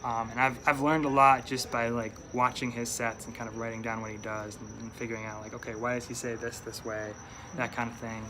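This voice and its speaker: A young man with a light New York accent talks in a loose, rambling way, with plenty of ums and restarts. His voice sits in an ordinary middle register. Crickets and steady noise run under the recording outdoors. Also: mid-range voice, brisk. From upstate New York. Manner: rambling, hesitant, many fillers and restarts. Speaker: stand-up comedian, guest. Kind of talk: casual interview chat.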